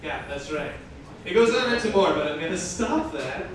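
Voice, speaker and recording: A man's voice: high voice, lively intonation, moderate pace. A man performing a comic, theatrical live reading with mocking, incredulous asides, recorded indoors at an event. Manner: breaks off into incredulous, sweary asides. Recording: echoey, noisy live room, far from mic. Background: audience crowd noise.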